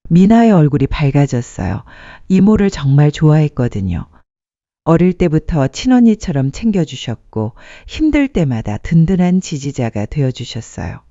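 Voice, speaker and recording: A woman's voice: sits low, big pitch swings, moderate pace, warm tone, dry recording, muffled recording. A woman narrating a sentimental story from a script, as a storyteller addressing listeners.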